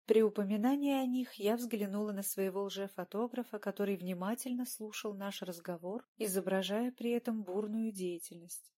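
A woman reading first-person fiction as an audiobook narrator, with expressive storytelling. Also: medium pitch, moderate pace, hardly any pauses.

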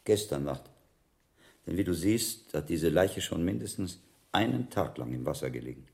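An actor playing a shrewd, sober monk investigator in a medieval radio drama, measured, analytical and probing in his delivery. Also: low-pitched, animated, creaky, darkish tone.

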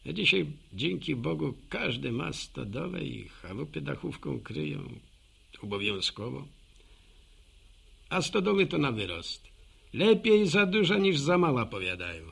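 An actor playing a chatty, homespun village postman with a gravelly voice, rambling in a folksy monologue for a radio drama. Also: on the high side, very animated, measured pace, a bit bright, full character voice. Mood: musing, proud. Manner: folksy, rambling, musing aloud to himself and listeners. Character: chatty, homespun old village postman, proud local gossip.

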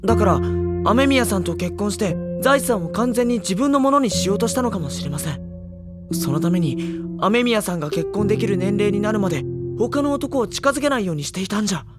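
Teenage schoolboy lead and narrator, acted, audio drama: courteous, matter-of-fact, reflective, set over clear background music. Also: medium pitch, expressive, fairly quick, lightly acted. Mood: reasoning. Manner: polite, restrained, matter-of-fact. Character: polite, level-headed teenage schoolboy, quietly reflective narrator. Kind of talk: Japanese audio drama acting and first-person narration. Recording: studio drama with music behind.

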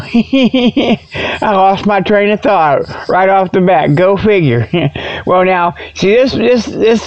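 Folksy gravelly man, rambling, lo-fi sound. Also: on the high side. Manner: folksy, informal, repeatedly tags phrases with "okay". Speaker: host. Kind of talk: rambling comic radio monologue. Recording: dull lo-fi radio sound.

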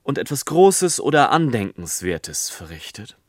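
An actor playing a melancholy, deliberate storyteller narrating a literary radio play. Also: male voice, mid-range voice, big pitch swings, dry recording, clean studio sound.

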